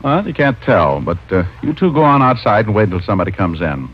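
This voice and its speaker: An actor playing a breezy, wry private detective who both talks and narrates in a 1950s radio mystery. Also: male voice, fairly low pitch, very animated, fast talker, breathy edge. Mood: matter-of-fact. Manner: wry, casual, hesitating with uh. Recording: muffled old radio recording with music.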